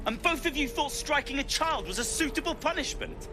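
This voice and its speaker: An actor playing a stern, righteous protector in a fantasy game, scolding in a formal manner. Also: male voice, very high voice, animated, brisk, music in the background. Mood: indignant.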